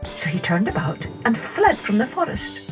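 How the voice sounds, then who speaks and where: A woman narrating a children's story in a dramatic, deliberate, expressive way. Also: a little high, soft background music.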